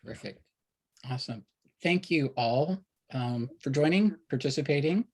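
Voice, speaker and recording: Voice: mid-range voice, animated, a little slow, husky. The host of an online event series, making casual announcements in an informal, conversational manner.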